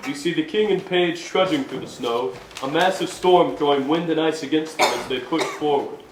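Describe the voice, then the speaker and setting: A man's voice: fairly high pitch, normal speed. A man reading stage directions as scripted narration in a staged reading. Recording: echoey room, faint noise.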